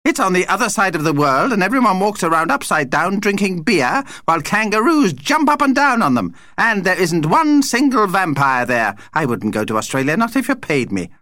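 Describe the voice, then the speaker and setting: A man's voice: mid-range voice, wildly swooping pitch, fast talker, few pauses, a bit bright, no room sound, studio quality. A man voicing a tiny, opinionated male vampire in an audiobook, effusive and given to dramatic exclamations. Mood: contemptuous.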